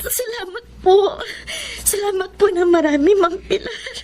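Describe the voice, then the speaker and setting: A woman's voice: very high voice, measured pace, warm tone, a little hiss or hum. An actress playing a humble, grateful young single mother in a Filipino radio drama, speaking politely and earnestly. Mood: tearful, overjoyed.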